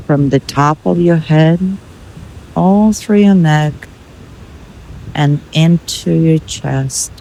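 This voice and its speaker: Slow-paced woman guiding meditation. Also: low voice, gravelly, warm tone, slight background noise, low-quality recording. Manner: measured, instructional, with pauses between short phrases. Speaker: meditation guide. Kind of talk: guided meditation.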